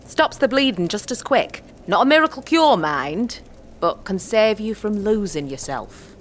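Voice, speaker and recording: A woman playing a gruff, wary old witch woman in an audio drama, blunt and teasing, speaking in rustic dialect. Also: medium pitch, animated, a little slow, a little hiss or hum, lightly acted. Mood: instructive.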